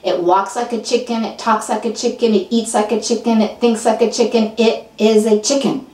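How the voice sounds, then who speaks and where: A woman storyteller voicing a stubborn, matter-of-fact farmer who keeps repeating himself flatly. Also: high voice, normal speed, far from the mic, natural voice. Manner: flat, insistent repetition. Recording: roomy, from off mic.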